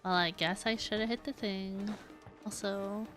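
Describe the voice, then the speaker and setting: A woman's voice: medium pitch, steady tone, measured pace, fairly bright tone. A woman giving casual live gameplay commentary, talking to herself and exclaiming. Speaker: game streamer. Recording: game music behind. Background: video game music.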